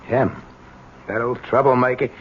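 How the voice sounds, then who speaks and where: A man's voice: medium pitch, fairly even intonation, measured pace, natural voice. Man playing a bitter, streetwise old ex-convict. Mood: wry, resigned. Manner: rough streetwise slang, wry. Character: bitter, world-weary old ex-convict father. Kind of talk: 1970s radio drama acting. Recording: dull, noisy old radio broadcast.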